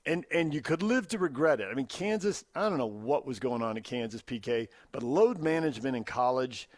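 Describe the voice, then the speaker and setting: A man's voice: mid-range voice, lively intonation, fairly quick, dry recording. A man with an American accent hosting sports talk radio, giving opinions conversationally into a studio microphone. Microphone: close studio radio microphone.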